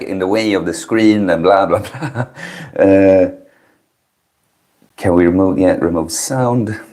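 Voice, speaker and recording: A man in his early thirties hosting a casual livestream, chatting with viewers in a chill, mellow, low-key manner. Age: early 30s. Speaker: livestream host. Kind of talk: casual chat with live stream viewers.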